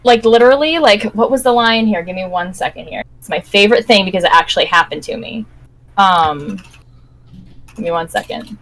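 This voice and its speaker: A woman's voice: medium pitch, a little fast, a bit bright. A woman co-hosting a podcast, telling everyday anecdotes in casual conversation. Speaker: podcast co-host. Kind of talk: casual live-stream chat with anecdotes.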